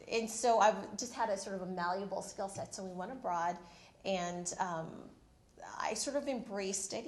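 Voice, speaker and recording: A woman's voice: medium pitch, reverberant. A woman in her thirties from the Philippines, telling her career story on a panel in a candid, self-deprecating way. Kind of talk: panel discussion. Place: university event room.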